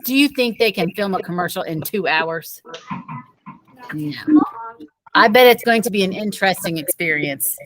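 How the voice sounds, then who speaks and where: A woman's voice: mid-range voice, lively intonation, moderate pace, pauses often, low-quality recording. Female teacher, mid-range voice, reading children's book dialogue aloud, slightly off-mic. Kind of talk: read-aloud storybook dialogue.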